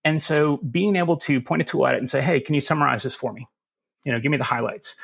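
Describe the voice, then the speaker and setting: A man with a Southern US accent, answering interview questions in a relaxed, folksy and self-deprecating way. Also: fairly high pitch, fast talker, vocal fry, slightly rough. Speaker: security instructor as guest.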